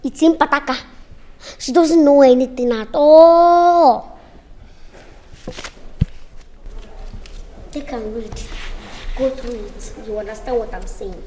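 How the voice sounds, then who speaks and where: A woman's voice: sits high, a little slow, warm tone, a little hiss or hum. A woman playing a cheeky young house help who talks back, with a Nigerian accent. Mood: explaining. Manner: sassy, talks back, stumbles over words.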